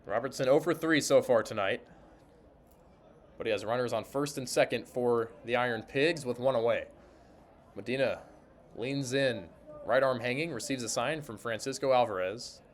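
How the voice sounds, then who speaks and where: A man's voice: medium pitch, moderate pace, slight background noise. A man calling baseball play-by-play for radio in clipped, descriptive phrases. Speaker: sports broadcaster, interviewer and play-by-play announcer. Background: ambience of a sparsely filled ballpark.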